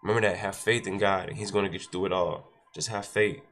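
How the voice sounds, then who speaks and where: A man's voice: sits low, steady tone, fast talker, a bit bright. A man with a stuffy nose hosting a day-trading livestream, repeating himself and talking straight to his chat. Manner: repetitive, exhorting, addresses chat directly. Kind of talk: casual livestream talk with a short devotional.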